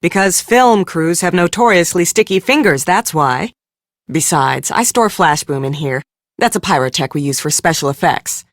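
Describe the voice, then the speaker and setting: An actress playing a brisk, sharp-tongued, exasperated woman on a film crew in an adventure game, speaking with sarcastic emphasis. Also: on the low side, animated, dry recording, studio quality. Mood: brisk, bossy. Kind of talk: scripted game character dialogue.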